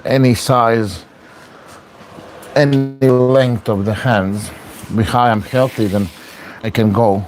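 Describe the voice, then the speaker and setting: A low-voiced man chats to his viewers in broken English while working through a training exercise. An arm wrestler, he keeps talking through the effort. A faint noise hums behind him. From Latvia. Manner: broken English, chatty, talks through exertion. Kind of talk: casual vlog talk while training.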